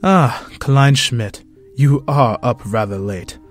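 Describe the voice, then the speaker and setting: A man playing a courteous aristocratic ambassador in a comic dub, formal and measured. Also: medium pitch, close to an everyday voice. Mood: gracious. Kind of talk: dramatic comic dub acting. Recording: voice over music.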